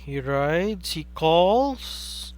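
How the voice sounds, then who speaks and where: A young man with a Filipino accent calls a card game live, his voice pitched a little above the usual for a man. He gets excitable and keeps asking rhetorical questions, now and then greeting viewers in chat. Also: drawn-out words, slight background noise. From Philippines. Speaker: caster for a card game stream. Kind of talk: live play-by-play commentary.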